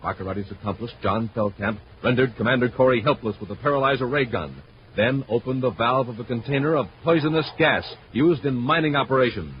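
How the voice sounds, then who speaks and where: A man's voice: mid-range voice, brisk, natural voice. A man acting as a hearty, emphatic American announcer in 1950s radio style, on an old recording. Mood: suspenseful. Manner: emphatic, enthusiastic. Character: hearty grown-up male pitchman for boys' space toys. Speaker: announcer and commercial pitchman. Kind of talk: 1950s radio announcing, scripted sales pitch and narration. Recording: muffled, noisy vintage radio recording.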